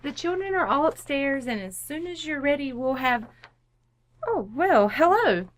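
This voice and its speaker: A woman's voice: high-pitched, big pitch swings, a little slow. A woman playing a composed, firm suburban wife in an old-time radio comedy, polite with dry sarcasm.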